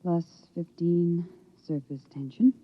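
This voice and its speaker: A woman's voice: lowish voice, steady tone, slow pace, slightly rough. An actress playing a cold, controlled woman professor in 1950s radio drama, with clipped, clinical and formal diction. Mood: cool, clinical. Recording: muffled old radio recording.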